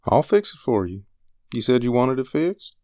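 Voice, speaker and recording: A woman voicing a tired, hungry drifter man, a vague but proud handyman who talks in low, halting short phrases. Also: very animated, warm tone, no room sound, studio quality. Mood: vague, reassuring.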